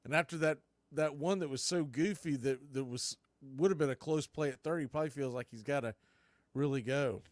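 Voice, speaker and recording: A man's voice: fairly high pitch, fairly quick, dry recording. A man doing live baseball play-by-play as a stream host, mixing clipped calls with folksy, joking asides and casual chat.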